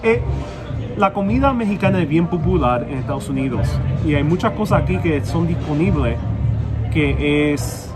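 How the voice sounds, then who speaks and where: A man's voice: on the high side, animated, brisk. A man from New York with a New York accent, hosting a live street vlog outdoors in a city, chatting upbeat and reading viewer comments aloud, with a clip-on wireless mic. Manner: chatty and upbeat, switches between English and Spanish. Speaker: travel vlogger, live-stream host. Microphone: wireless clip-on mic on a phone gimbal. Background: loud music.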